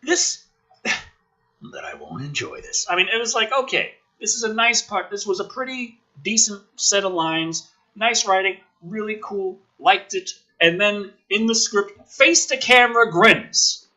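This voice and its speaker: A man hosting a theatrical script reading, with dramatic emphasis and sarcastic, exasperated commentary in a rough-edged voice. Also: high voice, expressive, a little slow, bright, forceful tone, rough recording. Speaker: host reading and mocking a film script.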